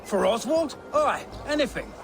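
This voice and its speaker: An actor playing an eager, loyal, rough-spoken Saxon peasant in a video game. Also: male voice, extremely high pitch, very animated, measured pace, background noise, heavily acted. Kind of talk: video game drama acting.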